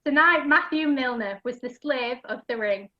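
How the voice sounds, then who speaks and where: A woman's voice: high voice, moderate pace, a little hiss or hum. A woman giving scripted rhyming narration for an amateur pantomime over a video call. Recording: dull lo-fi video call audio.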